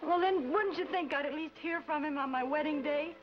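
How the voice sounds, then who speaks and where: An actress playing a fretful, motherly, sharp-tongued secretary and bride in a 1940s film comedy. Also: sits high, brisk, close to an everyday voice. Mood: upset. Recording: muffled 1940s film soundtrack, music behind.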